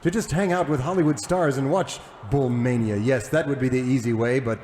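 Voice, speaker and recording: An actor playing a noble cowboy leader, speaking earnestly and declaiming in a parody of an old radio serial. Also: male voice, mid-range voice, brisk, a little mellow, in a large hall, a little hiss or hum, lightly acted.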